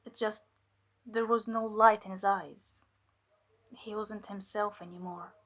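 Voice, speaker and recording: A woman playing a fearful, guilt-ridden cult infiltrator giving halting, emotional testimony in an audio drama. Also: mid-range voice, fairly even intonation, lightly acted. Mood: grim, regretful.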